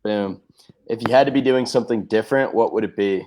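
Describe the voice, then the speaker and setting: A man's voice: fairly low pitch, a little fast, a little mellow, a little hiss or hum, rough recording. A man with an American accent hosting a casual interview in a laid-back, affirming way. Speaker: interview host.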